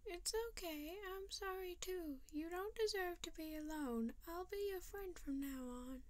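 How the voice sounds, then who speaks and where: A woman voicing a gentle, kindly cartoon cup boy, an earnest younger brother. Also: very high voice, measured pace, no room sound, pitched above the performer's own voice. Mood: consoling.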